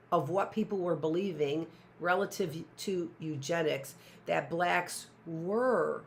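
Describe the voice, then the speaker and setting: A woman's voice: lowish voice, gravelly. A middle-aged woman teaching a live online class, rambling with sarcastic asides and talking to herself.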